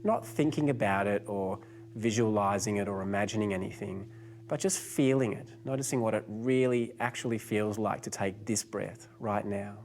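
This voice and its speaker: A man narrating a guided meditation as the guide, giving gentle, unhurried instructions with pauses. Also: lowish voice, slight background noise.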